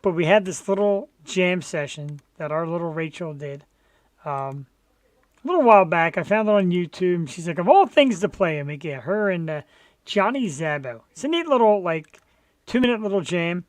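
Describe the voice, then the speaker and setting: A man co-hosting a casual live talk show, chatty and quick to laugh. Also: sits high, lively intonation, no echo. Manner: chatty, laughs often, hypes the guest. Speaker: co-host.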